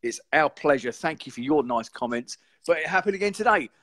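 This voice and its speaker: A man, hoarse and croaky, hosting a casual live stream show and chatting in a rambling way. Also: fairly high pitch, animated, fairly quick, fairly bright tone. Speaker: podcast host.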